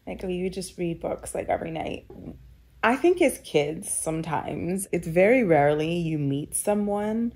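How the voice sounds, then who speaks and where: A woman's voice: on the low side, expressive, a little slow, creaky, dark tone, slightly rough. A young woman from Kenya, telling her personal story in a reflective, conversational way with many filler words. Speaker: storyteller guest.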